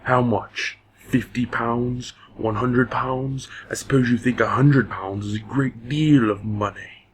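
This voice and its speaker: A man playing a menacing, greedy, hard-drinking stepfather with a husky, rough voice and a slow, sneering manner. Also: mid-range voice, steady tone.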